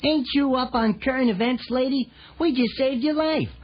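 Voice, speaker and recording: An actor playing a brash, distrustful, streetwise young ninja turtle with a rough voice in a children's audio drama. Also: high voice, moderate pace, slight background noise, natural voice. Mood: defiant. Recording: dull lo-fi 1980s tape.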